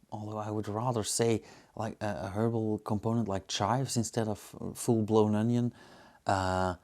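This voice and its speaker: Male reviewer, Belgian accent, lowish pitch, informal and unscripted, hesitant and reflective delivery. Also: darkish tone. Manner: hesitant, musing, searching for words. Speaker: beer reviewer and host. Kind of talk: casual unscripted tasting commentary.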